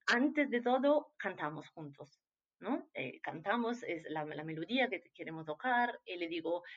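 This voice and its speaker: A woman's voice: lowish voice, expressive, normal speed, dull audio. A woman from Italy with an Italian accent, a clarinet teacher answering interview questions in Spanish, hesitant with many fillers and restarts.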